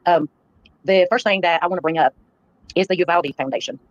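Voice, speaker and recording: A woman's voice: fairly low pitch, a little fast, no echo. Earnest woman streamer, dull lo-fi sound. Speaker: live stream host. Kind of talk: livestream address to viewers. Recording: dull, lo-fi livestream playback.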